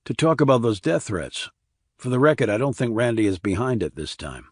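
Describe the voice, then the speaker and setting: A man's voice: medium pitch, big pitch swings, brisk, husky, no room sound, clean studio sound. A man voicing a blunt, probing army investigator in audiobook dialogue, terse and questioning.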